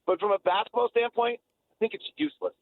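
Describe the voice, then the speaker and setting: A man's voice: very high voice, animated, fast, no echo, phone-quality sound. A man giving emphatic, opinionated basketball analysis in a sports radio conversation, repeating phrases to drive points home. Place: radio talk show.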